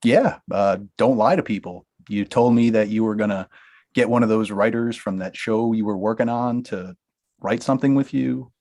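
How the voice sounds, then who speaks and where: A man's voice: lowish voice, normal speed, darkish tone, natural voice. A man reading the part of a blunt, money-minded talent manager in a comedy table read. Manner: brusque, dismissive, laughs through lines.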